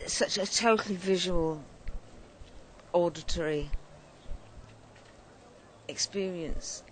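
A woman co-hosting a casual podcast, her voice hoarse from shouting and singing, talking enthusiastically outdoors. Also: fairly low pitch, a little hiss or hum, rough recording. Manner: enthusiastic, effusive. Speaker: podcast co-host. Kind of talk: casual chat. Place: outdoors at a festival.

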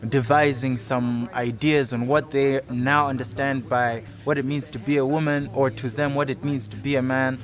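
A man's voice: mid-range voice, level tone, normal speed, hardly any pauses. A man in his twenties giving a reflective, explanatory account in a documentary interview as a project facilitator. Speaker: youth theatre project facilitator. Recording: music playing under the voice.

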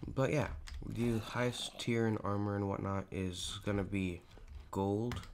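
A teenage boy with a raspy, hoarse voice, rambling casually over a Minecraft game with lots of fillers. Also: fairly low pitch, steady tone, a little slow, a little hiss or hum. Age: teens. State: hoarse, clearing throat. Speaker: gaming YouTuber. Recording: home recording with clear keyboard clicks.

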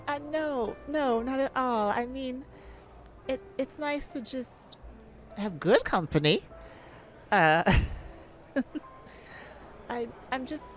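A woman playing a playful, shyly romantic lady thief in an ASMR audio roleplay, with a teasing, hesitant manner. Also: on the high side. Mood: flustered, shy. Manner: teasing, hesitant, breaking into soft laughs. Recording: music behind the voice.

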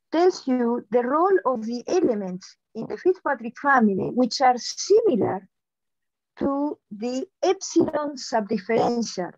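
A woman's voice: sits high, a little mellow, dry recording. A middle-aged woman on a video call, giving an explanatory academic answer and often restarting her phrases. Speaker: keynote speaker, mathematician. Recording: dull, lo-fi video call audio.

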